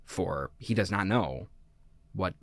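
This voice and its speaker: A man acting a suspicious, melodramatic son who styles himself a villain in a comedic audio drama, with grandiose, halting phrasing. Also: low voice, animated, fairly bright tone, dry recording, exaggerated voice. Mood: conspiratorial, ominous.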